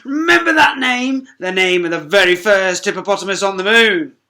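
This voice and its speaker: A woman's voice: medium pitch, lively intonation, normal speed, pushed and bright, pitched above the performer's own voice. A woman voicing a pompous, indignant male hippo explorer in a children's storybook, haughty and blustering.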